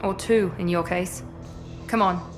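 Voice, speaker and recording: Game role: actress as a composed, lower-voiced woman agent, dry and condescending, music bed behind. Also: steady tone, a little slow, close to an everyday voice. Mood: cool. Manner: cool, dry, faintly condescending. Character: cool, clipped woman officer, confident and cold. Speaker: Cerberus project director. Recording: game audio with music score.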